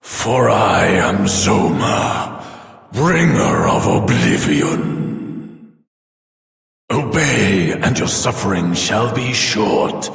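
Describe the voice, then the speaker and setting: A menacing demon lord of a video game, pompous and cruel. The voice is very low and gravelly, and it crawls along at a very slow, theatrical pace, drenched in cavernous reverb. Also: male voice, expressive, fairly bright tone, exaggerated voice. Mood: commanding, cruel. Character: grandiose demon overlord, menacing dark lord. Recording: long echoing reverb, music behind.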